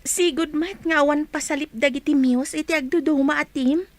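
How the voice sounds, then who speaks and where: A woman's voice: sits high, steady tone, moderate pace, lightly acted. A woman playing a shy, modest young student in a radio drama, speaking in a hesitant, questioning way.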